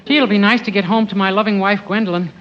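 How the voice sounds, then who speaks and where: An actor playing a naive, timid, devoted husband in meek 1940s radio comedy style. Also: male voice, high-pitched, fairly even intonation, fast, highs cut off, close to an everyday voice. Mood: trusting. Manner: meek, innocent. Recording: noisy old radio recording.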